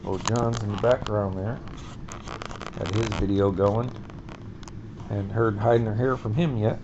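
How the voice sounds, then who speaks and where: A man's voice: lowish voice, fairly even intonation, unhurried, background noise. A chatty man hosting a card-opening video, talking to viewers with a stuffy nose. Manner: chatty, addresses viewers as folks. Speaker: card-opening vlog host. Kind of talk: casual chat. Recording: dull lo-fi recording.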